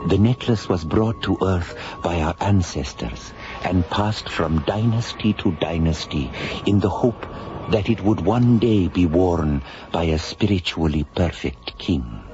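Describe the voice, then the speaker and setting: A man playing a solemn envoy of an ancient alien Egyptian people in a radio drama, telling things formally and gravely. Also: sits low, noisy background. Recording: dull radio recording with music underneath.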